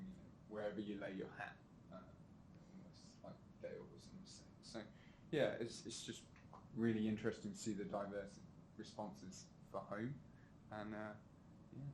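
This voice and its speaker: A young man on a discussion panel, summing up the others' answers hesitantly with many fillers. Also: fairly low pitch. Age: young adult. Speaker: panel member. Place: discussion room. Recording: echoey room, distant mic, background noise.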